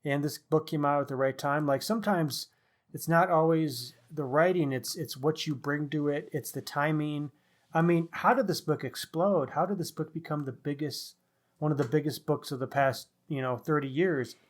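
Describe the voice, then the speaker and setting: A man with a voice a little higher than average co-hosts a book talk. He is casual and conversational, musing aloud as he works through his thoughts. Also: steady tone, moderate pace. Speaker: podcast co-host reviewing crime novels.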